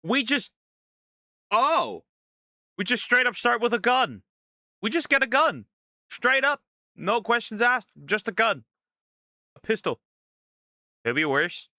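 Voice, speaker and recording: A male streamer giving casual, excitable commentary on a game, reacting with disbelief and joking to his chat. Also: very high-pitched, slow pace, pauses often, no room sound, studio quality. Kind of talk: let's play commentary.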